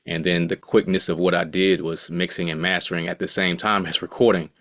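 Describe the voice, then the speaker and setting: Deep-voiced laid-back man. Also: little pitch movement, fairly quick, fairly bright tone, no room sound. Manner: laid-back, rambling, full of asides. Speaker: guest, music producer and engineer. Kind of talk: casual interview talk.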